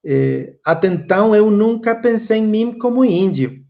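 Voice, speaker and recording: A man from Guatemala with a Guatemalan Spanish accent, a native Spanish speaker giving an online course lecture, slow and reflective with frequent fillers. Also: high-pitched, expressive, creaky, phone-quality sound.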